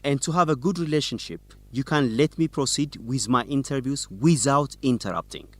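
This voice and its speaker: A man's voice: medium pitch, moderate pace, no echo, close to an everyday voice. An actor playing a dogged, defiant male student reporter in an educational radio drama, firm and challenging.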